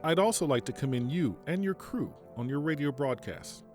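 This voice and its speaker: A man playing a stern, principled army general in an audio drama, speaking formally and deliberately. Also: a little high, expressive, darkish tone, soft background music, close to an everyday voice. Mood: formal approval. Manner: formal, measured, deliberate speech. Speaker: military general giving a radio interview.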